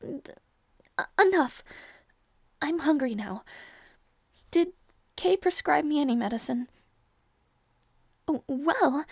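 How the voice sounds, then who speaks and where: A woman's voice: high-pitched, vocal fry, no room sound, studio quality, full character voice. A woman playing a teasing young princess. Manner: stammering, teasing, drops to soft whispers. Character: young princess, teasing, proud and easily flustered. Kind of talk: audio roleplay.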